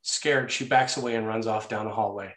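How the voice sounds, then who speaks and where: A man's voice: lowish voice, steady tone, a little fast, fairly bright tone. Echoey man narrating a screenplay. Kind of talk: scripted narration of screenplay action. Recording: echoey room sound.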